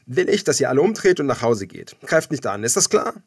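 A man's voice: mid-range voice, big pitch swings, fairly quick, slightly rough, voice pushed up. A man voicing a cocky, lewd young gang leader in an audiobook, with a taunting, theatrical manner. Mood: cocky, commanding.

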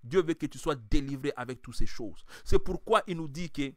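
Male preacher, high voice, passionate sermon, quiet to shouting, dry close sound. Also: expressive, normal speed, no room sound. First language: French, now mainly English-speaking. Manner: impassioned, swings from quiet to shouting.

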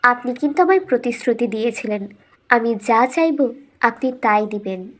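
Clever young cartoon princess, voiced by a woman: high voice, polite, coaxing, quietly determined. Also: lively intonation, moderate pace. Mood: insistent. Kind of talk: storybook cartoon dialogue.

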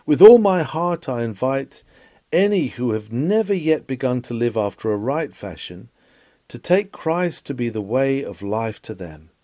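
A man's voice: medium pitch, very animated, measured pace, mellow, no room sound. A man reading a sermon aloud in an earnest, pleading, emphatic oratorical manner. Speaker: reader of a historic sermon.

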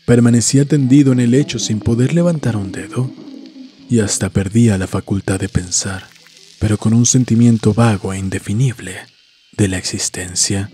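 Mellow-voiced man narrating horror. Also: fairly low pitch. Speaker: audiobook narrator. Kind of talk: scripted horror story narration. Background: background music.

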